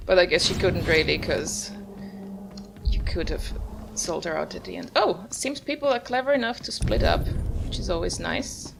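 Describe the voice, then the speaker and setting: A woman's voice: lowish voice, normal speed, creaky, background noise. A woman giving casual, musing, understated commentary while playing a video game. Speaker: gamer, video host. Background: video game music.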